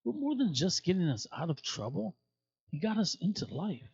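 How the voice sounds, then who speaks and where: Husky man reading scripture aloud. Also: mid-range voice, warm tone, dry recording, lo-fi sound. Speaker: scripture reader at a church.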